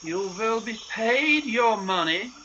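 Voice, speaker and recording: Male actor as cold spymaster for radio drama, high and drawn-out delivery, menacing, superior. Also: expressive, pushed and bright, muffled recording, exaggerated voice. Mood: coolly menacing. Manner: deliberate, menacing, condescending. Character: sinister, coldly sardonic saboteur boss. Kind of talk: radio play acting. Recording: streamed recording with faint noise.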